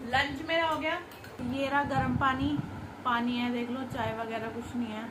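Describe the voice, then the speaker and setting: A woman's voice: sits high, level tone, moderate pace, over music, rough recording. A young woman in her twenties chatting to camera in a casual home vlog while cooking. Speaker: vlogger. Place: small home kitchen and living room indoors.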